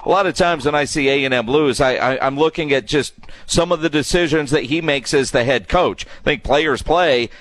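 A man's voice: fairly high pitch, normal speed, a bit bright, no echo. A man hosting a sports radio show, putting questions and opinions to a guest in a conversational way.

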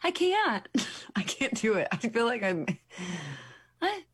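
A woman's voice: a little high, big pitch swings, slow pace. A young woman co-hosting a radio morning show, giggly and teasing in casual banter. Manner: giggly, self-deprecating, teasing. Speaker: radio co-host. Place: radio studio.

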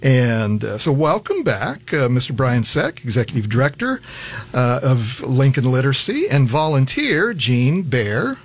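A man hosts a radio talk show from a studio. He talks casually and warmly to his guests, peppering his speech with "uh" as he goes. His voice sits at an ordinary middle pitch. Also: big pitch swings, unhurried, slightly rough. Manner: chatty, frequent "uh" fillers.

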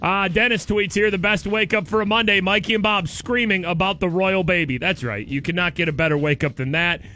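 A man's voice: high-pitched, brisk, slight background noise. A man co-hosting a morning radio show in casual, joking banter, with a sore throat and a voice that is going. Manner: excitable, sarcastic, joking.

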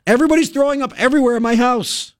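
A male radio host telling a story in casual talk-radio style, animated and full of quick asides. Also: very high-pitched, no echo, studio quality.

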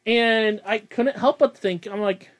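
A man's voice: high-pitched, measured pace. A young man hosting a casual podcast, rambling and self-deprecating, laughing often. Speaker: podcast host.

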